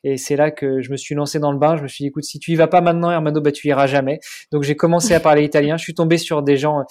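A man in his forties, a podcast guest chatting casually in an interview, pausing often with fillers and restarts. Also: fairly high pitch, steady tone, a little mellow. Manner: hesitant, many fillers and restarts.

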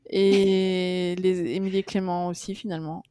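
A woman's voice: lowish voice, level tone, moderate pace, mellow, no echo, studio quality. A middle-aged woman speaking casually in an interview, chatty and hesitant, with many fillers and restarts. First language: French. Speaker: interview guest.

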